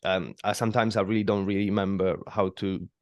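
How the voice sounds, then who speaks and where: A man with a noticeable Italian accent hosts a casual podcast chat in a low voice. He stumbles through his English with lots of restarts and "um"s, and the sound is close and dry. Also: fairly even intonation, darkish tone, no echo, dull audio. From Italy. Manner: halting, many restarts and fillers. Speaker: podcast host. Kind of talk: casual interview conversation.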